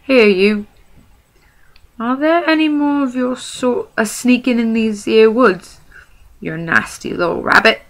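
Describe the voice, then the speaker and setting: A woman voices a mean, quarrelsome male troll from a storybook, gruff and bullying. She pitches him high and loud, with a rough gravel in the voice. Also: a little slow.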